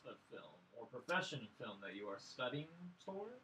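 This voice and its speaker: A man's voice: mid-range voice, very animated, brisk, sharp tone, a little hiss or hum, low-quality recording. A young man hosting a casual podcast interview, asking hesitant, loosely phrased questions. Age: young adult. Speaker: podcast host interviewing a guest.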